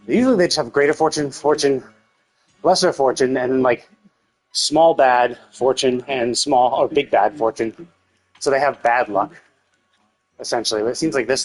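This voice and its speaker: A man gives casual live game commentary in a slightly husky voice of middling pitch. He thinks aloud, tentative and often correcting himself, with faint music playing behind him. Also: moderate pace, poor audio. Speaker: game streamer.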